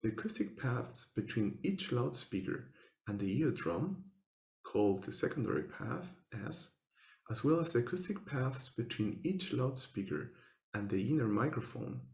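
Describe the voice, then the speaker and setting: Man, scripted academic presentation, formal, measured step-by-step delivery, vocal fry, echoey room recording. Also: mid-range voice, expressive, a little mellow. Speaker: researcher presenting a paper. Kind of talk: scripted technical lecture.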